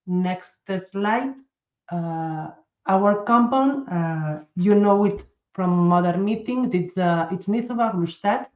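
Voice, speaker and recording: A woman's voice: mid-range voice, takes it slowly, reverberant, lo-fi sound. A woman with a Spanish accent giving a medical talk, carefully restarting phrases as she searches for English words. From Spain. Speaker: pharmaceutical medical director presenting. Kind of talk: conference presentation over video call.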